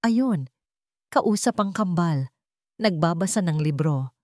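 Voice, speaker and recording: A woman voicing a young wife and mother in a story, brisk and bossy, with a two-faced temper. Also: fairly low pitch, expressive, a little mellow, no echo, clean studio sound. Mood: cheerful.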